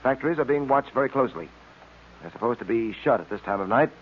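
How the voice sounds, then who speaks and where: A man playing a brisk, commanding, methodical police detective in an old radio serial, clipped and authoritative. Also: medium pitch, lively intonation, breathy edge. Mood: brisk, focused. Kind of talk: 1930s-40s radio serial acting. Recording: muffled vintage radio serial recording.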